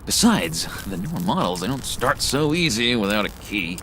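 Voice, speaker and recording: A man playing an eager, unstable, childlike misfit in a video game, stammering and excitable. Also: on the low side, moderate pace, slight background noise, poor audio. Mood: flustered. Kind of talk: video game character acting.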